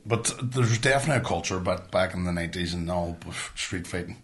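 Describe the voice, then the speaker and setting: A man's voice: low-pitched, fairly quick. A middle-aged man from Northern Ireland with a Northern Irish accent, telling stories in casual, sweary chat as a podcast host. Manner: blunt, sweary.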